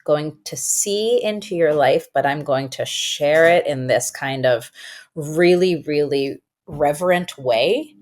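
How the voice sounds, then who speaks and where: Woman, native Farsi speaker, very low pitch, thoughtful, emphatic, podcast guest. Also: slow pace, a little mellow. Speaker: guest educator and author. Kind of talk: conversational interview.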